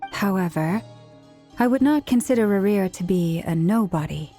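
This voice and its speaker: A reserved, serious young woman from a video game, speaks with measured formality. The tone is dark and relaxed at a middling pitch, over faint music. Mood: calm, earnest. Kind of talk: English video game dub acting.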